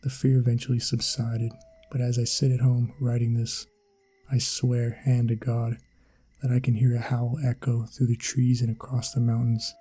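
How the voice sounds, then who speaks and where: A man reading a scripted first-person horror story in an ASMR style, with a dramatic, suspenseful delivery and a husky, gravelly voice. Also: medium pitch, completely flat delivery, moderate pace, mellow. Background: background music.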